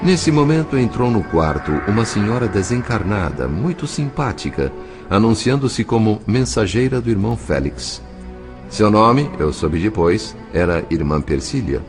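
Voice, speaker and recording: Deep-voiced Brazilian man narrating expressively. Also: sing-song, moderate pace. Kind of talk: scripted dramatic narration of an audio novel. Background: faint music.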